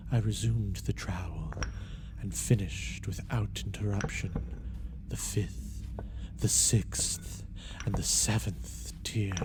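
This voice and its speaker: Slow, low-voiced man narrating gothic horror. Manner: theatrical, deliberate, intense. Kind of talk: dramatic first-person gothic story reading.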